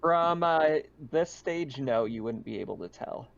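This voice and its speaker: A man with a creaky, medium-pitched voice runs a tabletop roleplaying game. He explains scenes conversationally and casually. The recording sounds slightly dull and lo-fi. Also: lively intonation, a little slow. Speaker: game master.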